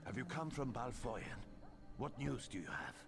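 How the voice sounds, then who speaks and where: A man playing a resolute, gravelly-voiced soldier in command in a fantasy video game. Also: mid-range voice, sing-song, moderate pace, soft background music. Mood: grateful, resolute. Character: gravelly male soldier, grateful war commander.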